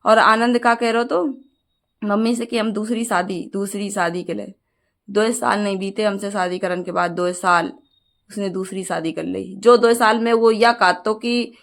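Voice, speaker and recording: A woman's voice: mid-range voice, fairly even intonation, moderate pace, gravelly, a bit bright. Earnest, aggrieved young woman addressing viewers. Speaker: vlogger. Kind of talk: personal appeal to viewers.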